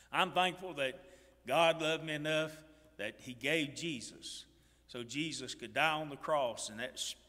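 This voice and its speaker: An older man preaching a sermon from a church pulpit, swinging between loud emphatic lines and quiet ones, with stumbling restarts. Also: medium pitch. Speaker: pastor. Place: church sanctuary stage behind a pulpit. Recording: long church reverb.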